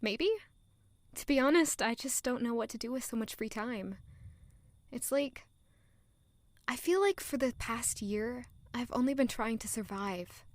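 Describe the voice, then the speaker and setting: A young noblewoman in an audio drama, her voice fairly high and recorded very dry. She speaks softly and expressively, earnest and playful, as if confiding in someone close. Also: expressive, unhurried, no room sound. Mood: playful, candid. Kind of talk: audio drama dialogue and first-person narration.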